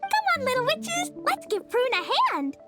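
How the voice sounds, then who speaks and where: A woman voicing a tiny, excitable, childlike flying fairy girl in a video game. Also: very high voice, normal speed, soft background music, full character voice. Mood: curious. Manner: refers to self in third person, excitable.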